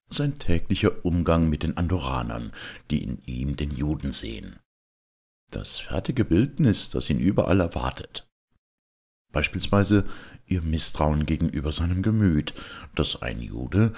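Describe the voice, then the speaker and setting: A man giving an expressive, deliberate reading of a literary text, with emphatic pauses. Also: extremely low pitch, gravelly, slightly rough.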